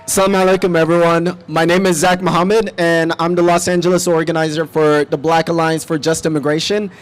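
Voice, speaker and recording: Young male organizer, twenties, from Somalia, high voice, delivering a public rally speech. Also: little pitch movement, a little fast. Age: 20s. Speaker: community organizer, rally speaker.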